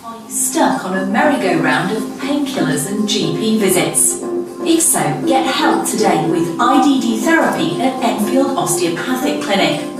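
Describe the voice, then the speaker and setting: A woman's voice: mid-range voice, animated, moderate pace, recorded from a distance. A woman reading scripted promotional narration as an advert voice-over. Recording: echoey playback from afar, loud music.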